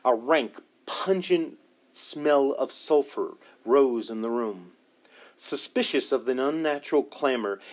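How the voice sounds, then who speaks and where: Guy reading a spooky audiobook, dramatic storyteller, medium pitch, kinda muffled lo-fi sound. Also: a little mellow. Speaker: audiobook reader. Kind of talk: scripted narration of a paranormal book.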